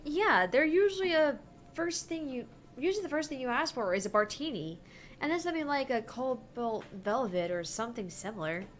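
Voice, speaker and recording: A woman's voice: high voice, expressive, fairly quick, slight background noise. A young woman hosting a visual novel let's play, reading dialogue aloud and chatting in a casual, joking way. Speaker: gaming channel host.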